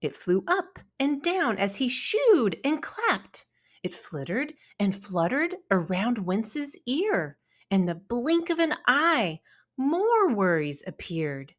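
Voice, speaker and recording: A woman reading a children's story aloud in an expressive, deliberate narrating style. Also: on the high side. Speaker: storybook reader.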